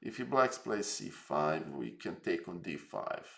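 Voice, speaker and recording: A man's voice: deep voice, big pitch swings, measured pace, vocal fry, a bit bright, reverberant. A man from Serbia hosting a casual live stream, rambling and hesitant with lots of fillers and jokes. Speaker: streamer host.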